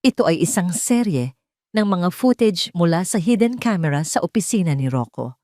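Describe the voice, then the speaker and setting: A woman with a slightly low voice narrates a scripted first-person drama. Her delivery is emotive and deliberate. The sound is dry, with very little room in it. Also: animated, dark tone, no echo. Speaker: audio story narrator.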